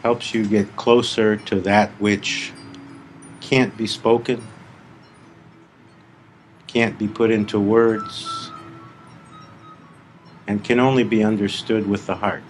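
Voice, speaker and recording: A man leading a live guided meditation as a spiritual teacher, speaking deliberately in short phrases with long pauses. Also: on the low side, little pitch movement, faint music behind.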